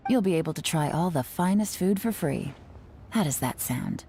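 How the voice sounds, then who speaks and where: A cool, sly agent. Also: female voice, fairly low pitch, heavy background noise. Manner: calm, sly, measured. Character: cool, teasing, secretive female agent. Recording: game audio over music.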